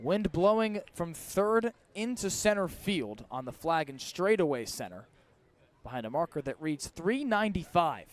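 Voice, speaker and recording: A man doing live radio baseball play-by-play outdoors at a ballpark, in clipped, descriptive calls that rise with the action. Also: fairly high pitch. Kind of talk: live radio sports commentary.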